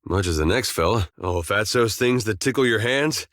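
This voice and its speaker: A man voicing an easygoing farmer turned adventurer in a video game, with a folksy, drawling, deadpan humour. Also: fairly low pitch, normal speed, no echo, clean studio sound. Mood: wry, easygoing. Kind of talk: video game companion dialogue and barks.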